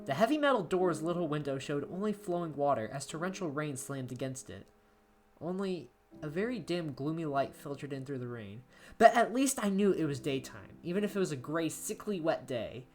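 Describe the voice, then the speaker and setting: A woman with a low voice narrates a creepy first-person story. Her delivery is dramatic and very varied in pitch, with phrase endings falling away to a hush. Soft plucked guitar plays behind her. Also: expressive, normal speed. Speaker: amateur horror story reader. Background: plucked guitar music.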